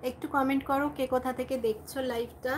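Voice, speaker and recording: A woman's voice: high voice, level tone, fast. A woman hosting a casual live chat, talking informally to her viewers. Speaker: livestream host, vlogger. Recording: some background noise, home livestream.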